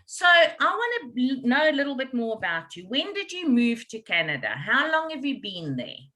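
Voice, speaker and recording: A South African woman hosting a casual interview, chatty and lively and slipping into Afrikaans now and then. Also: high-pitched, moderate pace. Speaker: interview host.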